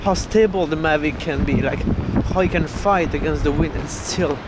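Excited young man in roaring wind. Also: fairly high pitch, normal speed. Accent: French. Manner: enthusiastic, many "like" fillers. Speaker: vlogger. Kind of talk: excited hiking vlog. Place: windy open seacoast outdoors. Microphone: action camera held at arm's length. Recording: loud wind buffeting the mic. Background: loud wind noise.

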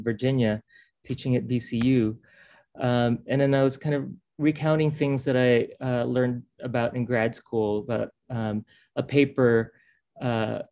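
A man's voice: medium pitch, a little mellow. A man from the Philippines walks through his art practice over a video call. He speaks in a mid-range voice and hesitates often, filling the gaps with ums and uhs. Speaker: artist. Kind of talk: artist talk on a video call.

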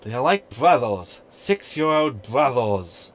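An actor playing a wry, self-preserving hologram crew member with a dry, sarcastic manner, in a science fiction comedy audio drama. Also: male voice, medium pitch, big pitch swings, takes it slowly. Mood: sardonic.

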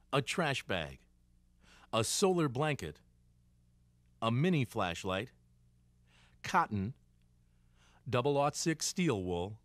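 A man reading scripted instructional narration for a training film in a deliberate, clearly segmented way. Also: mid-range voice, no room sound. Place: dry studio voice-over.

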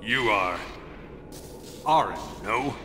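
An actor playing a burly, earnest bodyguard in a game, speaking in a halting, reverent, emphatic way. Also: male voice, lowish voice, animated, over music. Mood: awestruck. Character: burly male bodyguard, earnest, awestruck admirer. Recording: long hall reverb, game ambience behind.

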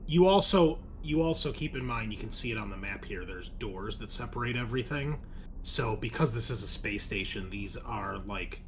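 A man's voice: fairly low pitch, a bit bright, slight background noise. A man hosting as game master, chatting casually and explaining the scene to players and listeners in a loose, conversational way.

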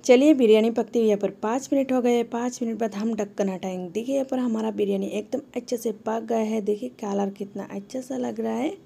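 Woman recipe host, mellow and gravelly, steady instructive voice-over with faint noise behind. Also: on the high side, fairly quick, few pauses. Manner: instructive, talks the viewer through each step. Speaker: cooking channel host.

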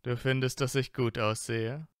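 An actor playing a proud, teasing young blond wizard in a fan fiction audio drama, with smug banter. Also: male voice, mid-range voice, little pitch movement, a little slow, clear, resonant tone, no echo, studio quality, close to an everyday voice.

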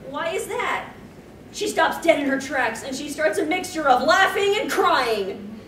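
Distant echoey room recording, noisy crowd, young woman, high voice, slow theatrical reading, very animated. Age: young adult. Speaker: cosplay panel host. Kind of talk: comic live fan fiction reading. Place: convention panel room stage, indoors. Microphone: far camera.

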